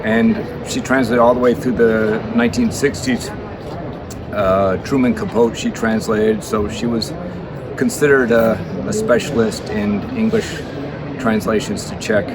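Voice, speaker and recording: A man's voice: fairly low pitch, unhurried. Hesitant man over street noise. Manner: hesitant, many fillers. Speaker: bookshop owner, guest reader. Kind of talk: casual spoken introduction.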